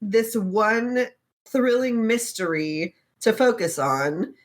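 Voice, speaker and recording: A woman's voice: a little high, takes it slowly. A woman podcast co-host chatting casually and comically as she retells a story, slangy, sweary and giggly, acting out dialogue. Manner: acts out characters' lines in mock dialogue.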